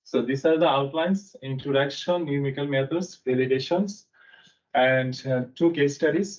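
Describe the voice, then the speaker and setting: A young man from Bangladesh presenting a technical seminar in a conference room, talking haltingly with frequent fillers. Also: medium pitch, a little mellow. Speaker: seminar speaker. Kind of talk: technical lecture. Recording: distant mic, dull sound.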